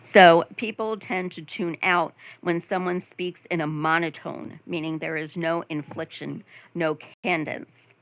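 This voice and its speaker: A woman instructor giving a conversational recorded lecture over slides, with frequent ums, asides, and throat clearing. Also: fairly low pitch, fairly even intonation, measured pace, no room sound, dull audio. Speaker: nursing instructor.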